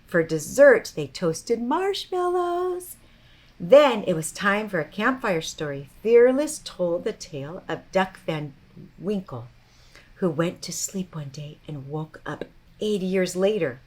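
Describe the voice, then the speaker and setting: A woman hosting a children's storytime, talking and reading to young listeners in a gentle, teacherly way. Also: medium pitch.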